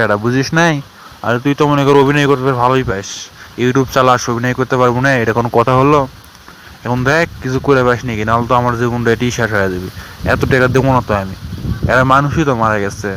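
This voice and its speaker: A man's voice: mid-range voice, a bit bright, slight background noise, lightly acted, poor audio. A man with a northern Bangladeshi accent playing a desperate, pleading village TikToker in a cartoon skit. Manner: rambling, complaining, pleading. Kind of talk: colloquial dialogue in a cartoon skit.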